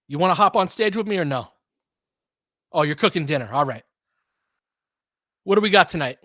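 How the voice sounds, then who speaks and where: A man's voice: fairly high pitch, lively intonation, a little fast, sharp tone, no room sound. Casual man calling a game, dry close sound. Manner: informal, conversational, chatting with listeners. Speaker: live audio play-by-play host. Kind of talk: casual fan play-by-play commentary and analysis.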